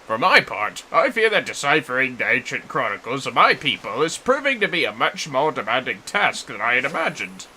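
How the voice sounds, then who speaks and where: A man's voice: mid-range voice, wildly swooping pitch, fairly quick, hardly any pauses, bright, forceful tone, a little hiss or hum, full character voice, poor audio. A man voicing an earnest, wordy warrior mentor in a fantasy game, with a theatrical, formal storytelling delivery. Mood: hearty, apologetic. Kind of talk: fantasy game quest dialogue read aloud.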